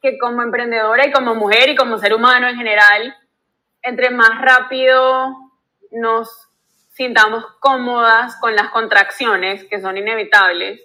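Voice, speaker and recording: A woman's voice: a little high, steady tone, a little slow, bright, forceful tone, rough recording. A woman, hosting a podcast, speaking in a reflective, affirming way. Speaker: podcast host. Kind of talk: casual conversation.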